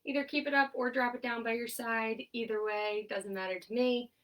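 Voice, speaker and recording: A young woman occupational therapist leading a seated exercise class, her voice nasal and stuffed up, giving upbeat cues and steady countdowns. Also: fairly high pitch, normal speed, far from the mic. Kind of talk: instructional exercise cueing with countdowns.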